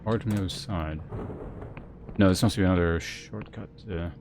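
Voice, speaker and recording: A man's voice: low-pitched, normal speed, crowd noise. A man chatting and commentating on a live game stream in a rambling, wry way, with faint noise on the recording.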